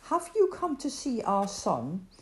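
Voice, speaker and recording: A woman voicing a gentle, welcoming father. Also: a little high, wildly swooping pitch, slow pace, reverberant, voice pushed up, full character voice. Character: kindly young father welcoming guests.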